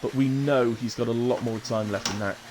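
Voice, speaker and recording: A man with a chesty, mid-range voice hosts a live sim racing stream. He commentates informally in short running phrases, with clear noise behind him. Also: medium pitch, steady tone, fairly quick. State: coughing, chest infection. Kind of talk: live race commentary with casual chat.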